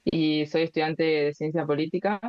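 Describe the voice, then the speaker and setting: A woman in her twenties with an Argentine Spanish accent, a guest speaking casually and thoughtfully, with many pauses and fillers. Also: low-pitched, level tone, smooth, no echo, lo-fi sound. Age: 20s. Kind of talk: casual interview chat.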